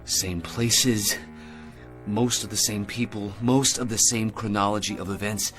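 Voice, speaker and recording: An actor playing a shaken male astronaut major in a radio drama, speaking in a halting, earnest way. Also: fairly low pitch, steady tone, a bit bright, soft background music. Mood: hushed, shaken.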